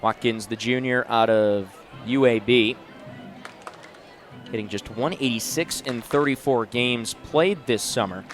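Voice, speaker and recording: A man's voice: medium pitch, measured pace, faint music behind, some chatter behind. A man in his twenties doing live play-by-play sports commentary in short, punchy announcer phrases. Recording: noisy live venue sound.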